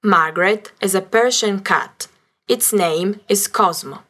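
A woman's voice: mid-range voice, fairly bright tone. A woman narrating a language lesson, carefully spelling out words. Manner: slow, carefully spelled-out reading.

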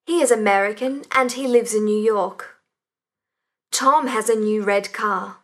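Brief: female reader as a young English woman, bright voice, very slow pace. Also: medium pitch. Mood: plain. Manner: slow and deliberate. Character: young Englishwoman reading a self-introduction. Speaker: course audio reader. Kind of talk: slow scripted language-course reading.

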